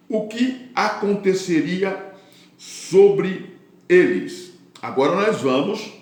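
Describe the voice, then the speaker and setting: A man with a Brazilian accent preaching a Bible teaching sermon in deliberate phrases, with devout interjections of praise. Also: fairly high pitch, a little mellow. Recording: very echoey, reverberant room.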